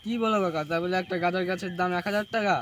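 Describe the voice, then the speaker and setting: A man's voice: sits high, steady tone, very fast, close to an everyday voice. A man voicing a scheming, cheeky young stoner in a comic cartoon, swearing and slangy. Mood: pleading, boastful. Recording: clearly noisy track with clicks and birdsong.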